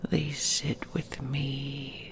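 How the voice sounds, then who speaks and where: A woman's voice: a bass voice, level tone, drawn-out words, a little hiss or hum, exaggerated voice. A woman playing an ancient, possessive and tender she-dragon in an intimate audio roleplay, whispering soothingly with formal, old-fashioned phrasing. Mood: possessive, hypnotic. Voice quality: breathy, creaky whisper.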